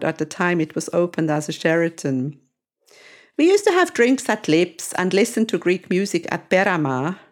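A woman in her sixties from Switzerland, hosting a podcast and telling her memories in a reflective, nostalgic way. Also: fairly low pitch. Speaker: podcast host. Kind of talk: memoir storytelling narration.

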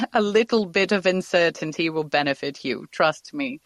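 A woman's voice: fairly low pitch, expressive, normal speed, no echo. An actress playing a poised, persuasive woman scientist, formal and polished, in an audio drama. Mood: gently firm.

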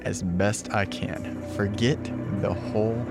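A man's voice: on the low side, fairly even intonation, slow pace, vocal fry, music in the background. A man acting a laid-back, chummy new-age yoga teacher in his late twenties in a radio drama, slangy and effusive. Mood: uneasy, subdued. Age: late 20s.

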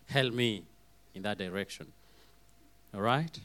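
A man with a hoarse voice preaching a sermon, calling out for amens and acting out little quoted voices as he goes. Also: lowish voice, measured pace. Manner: lively, calls for amens, acts out quoted voices.

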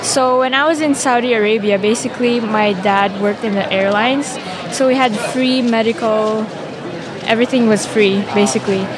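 A woman's voice: a little high, moderate pace. A young premed woman from the Philippines, chatting eagerly in an outdoor interview. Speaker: premed student. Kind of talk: vox pop interview. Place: outdoor event. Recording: outdoor event with crowd chatter. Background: crowd chatter.

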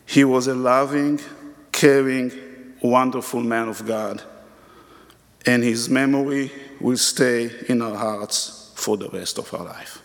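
A man's voice: medium pitch, vocal fry. A man delivering a prepared, earnest memorial tribute at a church service. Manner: slow, earnest and measured. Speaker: church member giving a eulogy. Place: church sanctuary. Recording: reverberant hall.

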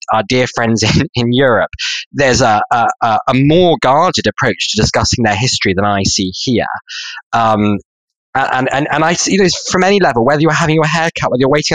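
A middle-aged man gives his views as a panel expert. His voice sits at an average pitch and he reasons reflectively, often posing questions. The recording is very dry, with no room sound. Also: a bit bright, no echo, poor audio. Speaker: expert guest. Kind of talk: opinion in panel discussion.

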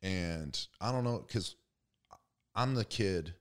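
A young man with a very deep, low voice talks in a relaxed, slangy way. He keeps tossing in "you know what I mean" as he reflects out loud. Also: slow pace, smooth. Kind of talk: casual conversation.

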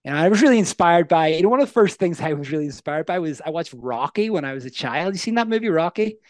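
A man in his thirties with a Northern Irish accent, telling his story as a coaching guest in an earnest, energetic way. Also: on the high side, fast, no echo, studio quality. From Northern Ireland. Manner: energetic, earnest, asks the listener questions. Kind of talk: casual interview storytelling.

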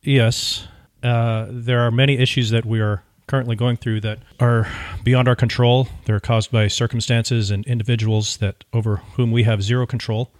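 A man co-hosting a podcast in casual conversation, speaking in halting, searching phrases. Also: medium pitch, flat delivery, darkish tone, no room sound, studio quality. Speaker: podcast co-host.

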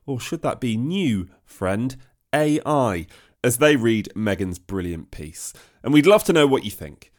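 A man with a British accent presenting a scripted podcast introduction in a chatty, welcoming way. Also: on the high side. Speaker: podcast host.

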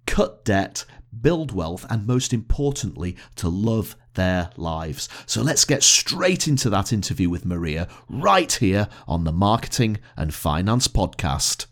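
A man in his fifties with a northern English accent, hosting an interview podcast over a video call and chatting easily, often repeating words as he finds his phrasing. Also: lowish voice. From England. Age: 50s. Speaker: podcast host.

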